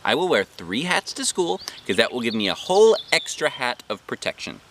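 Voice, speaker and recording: Storybook role: man as a stubborn, dramatic little schoolgirl, pitched up, bright and animated, childishly emphatic. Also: a little fast, slight background noise. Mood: earnest scheming.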